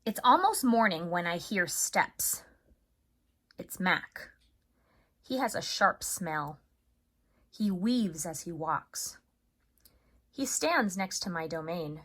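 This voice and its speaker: Bright-voiced woman teaching young children. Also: fairly low pitch, takes it slowly, long pauses. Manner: chatty, addresses children as friends, expressive. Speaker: third grade teacher. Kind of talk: upbeat video lesson and read-aloud for children.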